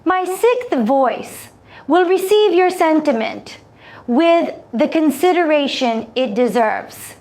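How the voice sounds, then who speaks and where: A senior alien broker from a science fiction audio story, played by a woman, speaks high and slowly. The phrasing is formal and exact, with a measured courtesy. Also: lively intonation, a little mellow, heavily acted. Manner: stiffly formal, deliberate phrasing.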